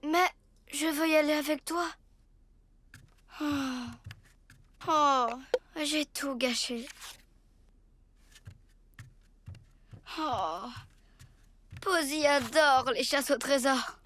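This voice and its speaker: A woman voicing an eager, earnest young boy rabbit in a preschool cartoon, with childlike, expressive delivery. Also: high voice, slow pace, long pauses, slight background noise, full character voice. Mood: sorry, downcast.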